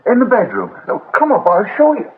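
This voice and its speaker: Casting: obliging British village constable, rather high voice, bouncy intonation, thin radio-drama recording. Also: male voice, huge pitch swings, a little fast, breathy edge, full character voice. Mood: informative, grim. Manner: eager, apologetic, obliging. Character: deferential, chatty male local police constable. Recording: tinny band-limited radio recording, faint noise.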